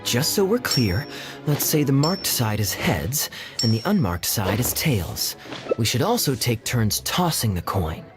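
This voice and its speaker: A man's voice: mid-range voice, very animated, unhurried, over music. An actor playing a confident, challenging young man in a video game, breezy and assertive, with an assured, articulate manner. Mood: brisk, businesslike. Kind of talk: acted video game dialogue.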